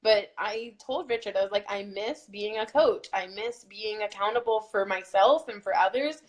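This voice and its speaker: A woman of middle pitch chats casually, giving earnest encouragement. Her talk is loose and open, full of "like" as she speaks. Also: moderate pace. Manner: earnest, pep-talk tone with filler "like". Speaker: fitness challenge group co-host. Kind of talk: casual motivational chat.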